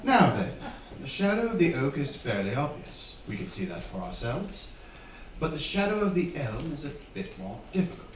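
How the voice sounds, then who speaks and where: A man playing a shrewd, commanding detective in a stage radio drama, with brisk, authoritative, formal delivery. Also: on the high side, sing-song, crowd noise. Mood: analytical, intent. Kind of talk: theatrical radio drama acting. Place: theatre stage, live performance. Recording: roomy, distant stage miking, faint hiss.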